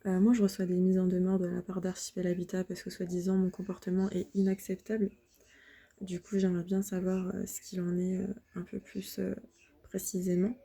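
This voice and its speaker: A woman in her thirties from France, recording a halting, upset personal appeal to viewers. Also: on the low side, completely flat delivery, mellow, slightly rough. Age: 30s. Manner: halting, many hesitations and restarts; upset, defensive. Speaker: vlogger. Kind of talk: personal video appeal to the public.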